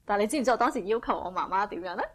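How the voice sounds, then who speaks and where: A woman interpreting a sermon phrase by phrase, speaking in a brisk, fluent and eager way. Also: medium pitch.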